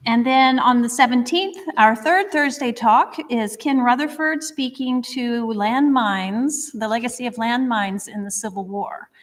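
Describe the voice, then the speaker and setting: A woman hosting a history society talk, chatty and upbeat as she makes announcements and introduces the speaker to a live audience. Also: on the high side. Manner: chatty, upbeat, a little rambling. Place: lecture room before an audience. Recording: long hall reverb.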